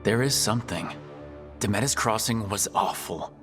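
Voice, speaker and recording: An actor playing a young, self-doubting hero in a video game, earnest and plain-spoken. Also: male voice, on the low side, normal speed, big-room sound, over music, lightly acted. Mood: troubled, doubtful.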